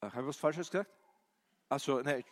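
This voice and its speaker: A male preacher, a non-native German speaker, giving an animated sermon with many questions to his listeners and hesitant fillers. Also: medium pitch, a little fast. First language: not German. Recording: reverberant hall.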